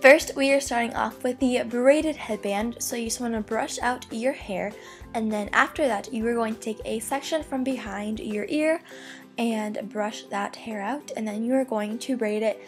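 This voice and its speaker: A young woman talking through a hair tutorial in a casual, chatty way, her voice a little hoarse from losing it. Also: a little high, normal speed, soft background music. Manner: chatty, upbeat, many "like" fillers. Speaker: beauty vlogger. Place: bedroom.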